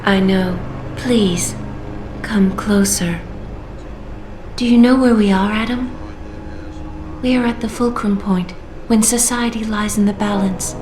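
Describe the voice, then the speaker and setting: An actress voicing a calm female AI newscaster in a video game, smooth and composed. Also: mid-range voice, slow, long pauses, close to an everyday voice. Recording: roomy game audio with music.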